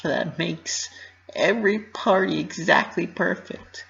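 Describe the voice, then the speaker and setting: Guy doing a high girly pony voice, anxious and guilty, pleading, storybook reading, kinda muffled. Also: gravelly, a bit bright, exaggerated voice. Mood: anxious, strained. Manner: self-critical, pleading, emotional delivery. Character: anxious, guilt-ridden young female pink pony. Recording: slightly muffled lo-fi recording.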